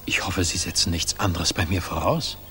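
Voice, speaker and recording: A low-voiced, cautious industry president. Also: male voice, steady tone, bright, forceful tone, soft background music. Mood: earnest, guarded. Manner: polite, measured, probing. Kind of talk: 1960s German radio drama acting.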